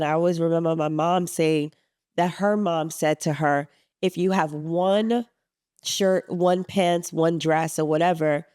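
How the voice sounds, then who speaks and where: A woman from Suriname co-hosting a podcast in casual chat, affirming and measured. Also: deep voice, a little mellow, smooth, dry recording, clean studio sound. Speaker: podcast co-host.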